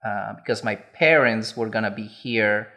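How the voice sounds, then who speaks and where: A man's voice: fairly low pitch, moderate pace, pushed and bright. A man in his forties from Peru, a podcast guest, speaking plainly and with light humor. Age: 40s. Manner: plain-spoken, good-humored, simple phrasing. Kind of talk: casual podcast interview.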